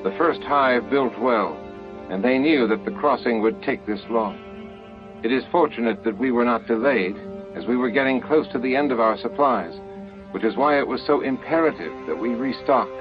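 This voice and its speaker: A man's voice: mid-range voice, moderate pace, dull audio, over music, natural voice. A man voicing a proud, defensive alien hive leader in an audiobook, speaking formally and earnestly. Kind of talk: audiobook character dialogue.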